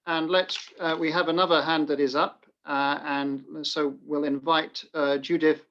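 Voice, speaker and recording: A man moderating a webinar panel, introducing speakers in a halting way with many filled pauses over a video call. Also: a little high, flat delivery, a bit bright. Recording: muffled video call audio.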